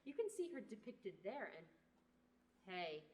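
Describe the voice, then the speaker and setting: A woman's voice: mid-range voice, big pitch swings, unhurried, darkish tone. A young woman playing a chatty, storytelling tavern keeper in an amateur fantasy radio play. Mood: musing, chatty. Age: young adult.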